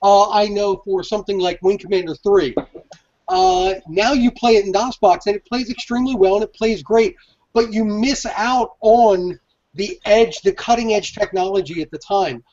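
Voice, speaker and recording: A man's voice: sits high, moderate pace, a little mellow. A man chatting casually on a panel video call, effusive and given to folksy exclamations. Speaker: collector panelist. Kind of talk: casual chat. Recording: dull lo-fi video-call audio.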